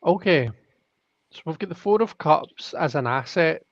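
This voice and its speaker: A man's voice: fairly high pitch, animated, normal speed, no room sound. Rambling man on dull lo-fi audio. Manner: thinks aloud with many restarts and fillers. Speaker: tarot reader hosting a live stream. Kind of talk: casual live-stream chat.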